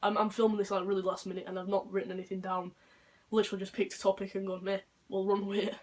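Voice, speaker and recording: A young man with a deep voice, talking unscripted in a rambling, earnest vlog monologue. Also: completely flat delivery, quick pace. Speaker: vlogger.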